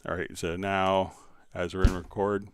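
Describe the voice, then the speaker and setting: A man hosting a live stream, casually explaining software step by step while he demonstrates it. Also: deep voice, flat delivery, a little slow, background noise.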